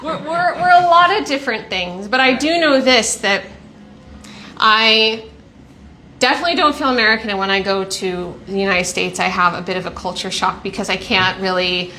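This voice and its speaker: A woman's voice: mid-range voice, normal speed, bright, forceful tone, a little hiss or hum. A woman from Texas hosting a casual, reflective chat, laughing easily as she talks. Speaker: host.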